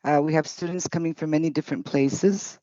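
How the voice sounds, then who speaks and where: A woman's voice: deep voice, steady tone, brisk, warm tone, no echo. A woman interpreting at a public hearing in short, hesitant, piecemeal phrases. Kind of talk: halting consecutive interpretation. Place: committee hearing. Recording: dull, muffled audio.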